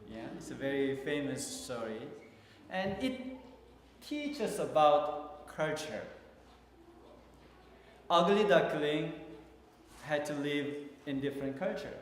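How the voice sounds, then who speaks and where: A man with a Korean accent preaching a sermon as pastor, speaking haltingly with many fillers and restarts. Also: fairly high pitch, a little hiss or hum. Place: large church hall. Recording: echoey hall from far off.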